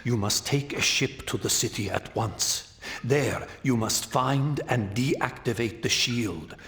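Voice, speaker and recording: Slow, solemn ancient vampire elder. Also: male voice, mid-range voice, a bit bright. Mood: commanding. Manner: measured, formal, portentous delivery. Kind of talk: theatrical fantasy game cutscene acting.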